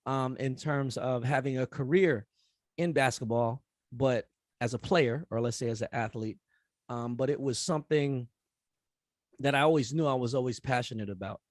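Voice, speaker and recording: A middle-aged man talking casually at a forum, relaxed and rambling with many fillers. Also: mid-range voice, normal speed, dry recording, clean studio sound. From United States. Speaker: rapper and event host speaking at a forum. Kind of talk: casual conference talk after a rap performance.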